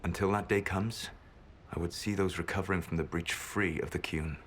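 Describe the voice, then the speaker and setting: A low-voiced solemn, weary ancient mage. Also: male voice, steady tone, slight background noise. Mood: solemn, resolute. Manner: measured, grave, formal. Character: weary, solemn male ancient mage, regretful but resolute. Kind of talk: dramatic video game acting. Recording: loud orchestral game music behind.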